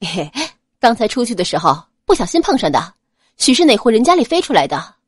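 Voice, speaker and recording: A woman voicing a cheerful, excited teenage younger brother in an audiobook, boyish and breezy. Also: fairly high pitch, fairly quick, breathy edge, no echo, clean studio sound. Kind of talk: dramatized audiobook character acting.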